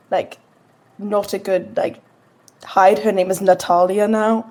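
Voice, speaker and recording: A woman with a very soft-edged, mellow voice talks casually as a player in a tabletop game. Her pitch is middling, and her phrasing is informal, full of "like". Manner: informal, heavy use of "like". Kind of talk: casual chat.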